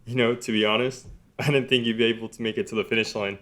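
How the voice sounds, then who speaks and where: A man reading the part of a serious, tall teenage boy in a dry, even manner. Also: mid-range voice, quick pace, pitched above the performer's own voice, lightly acted.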